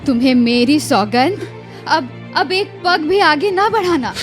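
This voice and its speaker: A woman's voice: high voice, animated, a little slow, soft background music. A woman playing a kind-hearted, repentant young wife in a radio drama, imploring urgently and repeating her words. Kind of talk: Hindi radio drama acting.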